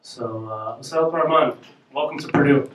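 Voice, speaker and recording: A man hosts a campus Q&A, asking questions in a halting way full of "uh" and "um". His voice sits at a middle pitch. He sounds distant from the mic in a roomy, echoing hall. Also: a little hiss or hum. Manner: halting, many "uh" and "um". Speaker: event host and interviewer. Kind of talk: hosting a campus Q&A.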